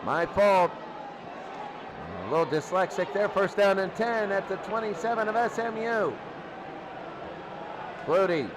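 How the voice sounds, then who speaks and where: A man calling football play-by-play for radio in an excitable manner, often repeating phrases. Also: sits high, unhurried, long pauses. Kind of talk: radio sports commentary. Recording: loud noisy stadium crowd behind. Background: roaring crowd.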